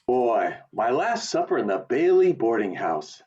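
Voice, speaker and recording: Guy acting earnest restless young dreamer in a radio play, really swoopy pitch, muffled video call sound. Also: very animated, measured pace, background noise. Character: restless, eager young small-town man with big dreams. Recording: dull lo-fi video call audio.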